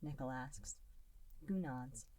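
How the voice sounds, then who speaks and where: A woman narrating a fan fiction audiobook in an expressive storytelling manner. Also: very deep voice, slow pace, no echo, rough recording.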